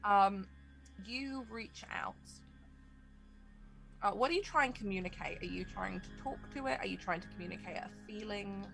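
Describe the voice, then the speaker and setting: A woman acts as game master, describing scenes and calling for rolls in a tabletop game. Her medium-pitched voice has a gravelly creak, and soft music plays underneath. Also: normal speed, low-quality recording. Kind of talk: narrating a tabletop role-playing game.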